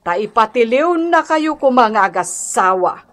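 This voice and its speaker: Stern village captain. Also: female voice, fairly high pitch, sing-song, moderate pace. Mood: matter-of-fact. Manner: authoritative, admonishing. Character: stern female village captain settling a quarrel. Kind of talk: Filipino radio drama acting.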